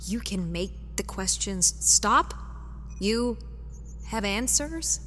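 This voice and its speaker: Actress as a slow-spoken, troubled mother. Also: mid-range voice, animated, a bit bright, slight background noise. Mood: distressed, unsure. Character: troubled, gullible mother in league with villain. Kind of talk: audio drama acting. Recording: long reverb, music underneath.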